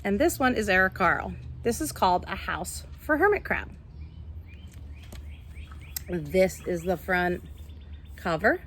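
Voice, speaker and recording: A woman's voice: mid-range voice, sing-song, measured pace, pauses often, fairly bright tone, a little hiss or hum. A woman teacher reading a picture book aloud to young children, instructing and explaining simply as she goes. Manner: instructive, addresses young listeners with simple questions.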